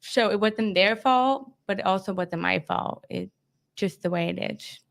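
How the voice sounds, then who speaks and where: A woman's voice: mid-range voice, unhurried, creaky. Woman, mid-20s, panel guest, plainspoken, mid-range voice. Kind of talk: casual chat.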